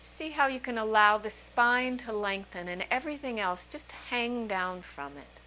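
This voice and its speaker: A woman's voice: on the high side, lively intonation, sharp tone, low-quality recording. Woman guiding meditation over background noise. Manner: soothing, unhurried phrases with long pauses. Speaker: meditation teacher. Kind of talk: guided meditation, slow calming instruction.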